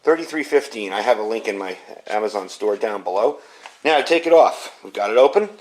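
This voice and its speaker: A man's voice: on the low side, expressive, a little fast. Casual man reviewing gear. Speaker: product reviewer. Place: indoors at home.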